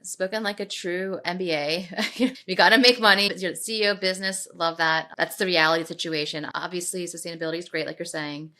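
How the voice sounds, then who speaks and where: A woman's voice: on the low side, fairly quick, few pauses. A woman co-hosting a podcast, reading a sponsor note and asking the guest questions with enthusiasm. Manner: lively, enthusiastic. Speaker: podcast co-host.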